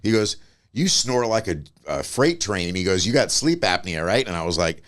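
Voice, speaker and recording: Male podcast guest, very deep voice, easygoing storyteller. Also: very animated, moderate pace, fairly bright tone. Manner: laid-back anecdotal storytelling, quotes others in higher voices. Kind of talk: casual chat.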